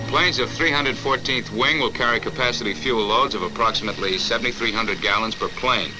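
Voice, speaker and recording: A man's voice: lowish voice, low-quality recording. A man formally reading out military orders in a terse, procedural way, on a vintage 1940s film soundtrack. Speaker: military briefing narrator.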